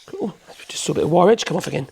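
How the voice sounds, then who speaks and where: A man with a cold, hoarse, chatting in a rambling way as he demonstrates in his workshop. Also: high-pitched, wildly swooping pitch, very fast, a little mellow, slight background noise. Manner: rambling, chatty, self-correcting, chuckles. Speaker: woodworking channel host.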